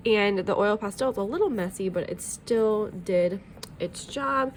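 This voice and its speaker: A woman's voice: medium pitch, a little slow, slight background noise, poor audio. A woman art teacher talking through a drawing tutorial in a friendly, chatty way, a bit sniffly from allergies, with plenty of ums.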